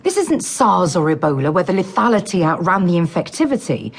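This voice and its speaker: A passionate female medical scientist in a naturalistic radio drama. Her low voice is husky and swings widely in pitch as she presses her case with emphatic urgency. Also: sing-song, fairly quick, full character voice. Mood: urgent, insistent. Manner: insistent, emphatic, articulate. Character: urgent, frustrated female infectious disease doctor, passionate and driven.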